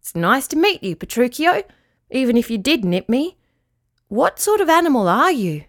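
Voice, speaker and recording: A woman voicing a friendly old male dog in a children's story, speaking gently, politely and reassuringly. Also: high-pitched, very animated, normal speed, pitched above the performer's own voice.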